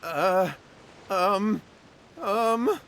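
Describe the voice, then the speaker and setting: Nervous, higher-voiced cowardly villager. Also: male voice, lively intonation, extremely slow, a bit bright, slight background noise, heavily acted. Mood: evasive, flustered. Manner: stammering, flustered. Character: nervous guilty younger man, cowardly penniless villager. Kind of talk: video game voice acting.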